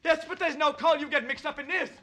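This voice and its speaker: An actor playing a frightened, desperate outlaw who pleads and stammers, in vintage radio western style. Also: male voice, very high voice, fast talker, sharp tone. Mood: panicked, pleading. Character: frightened, desperate male outlaw begging and bargaining. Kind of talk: 1950s radio western acting. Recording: noisy, crackly vintage radio drama recording.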